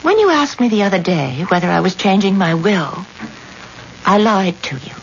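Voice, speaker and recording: An actress playing a wealthy, devoted, forgiving wife in a radio drama, with earnest, halting, theatrical delivery. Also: female voice, mid-range voice, big pitch swings. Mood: hesitant, confessing. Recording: dull, hissy old radio recording.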